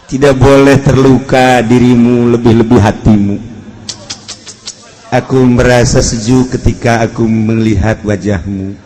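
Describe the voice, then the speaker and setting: A man's voice: mid-range voice, steady tone, a little slow, a little mellow. A man with a Sundanese accent giving a humorous storytelling sermon as an Islamic preacher, moving between theatrical mock-romantic recitation and colloquial asides. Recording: echoey hall with long reverb.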